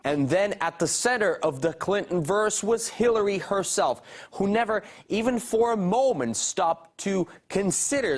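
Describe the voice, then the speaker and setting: A male news reporter with a fairly high voice. He narrates in an emphatic, rhetorical way and puts questions to guests like a broadcast interviewer. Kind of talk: scripted narration and live interview.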